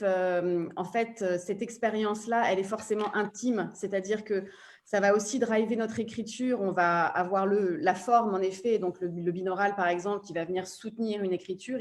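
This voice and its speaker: A woman speaking as a podcast producer on a panel, making her points emphatically in a halting flow. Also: medium pitch, a little mellow. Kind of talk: explanatory panel discussion.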